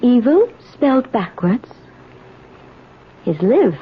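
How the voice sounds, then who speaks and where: An actress playing a forceful young girl in a radio drama, bossy, taunting and self-assured. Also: fairly high pitch, sing-song, slow pace. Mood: taunting, sly. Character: forceful, brazen young girl who moves in uninvited. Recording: dull, noisy old radio broadcast.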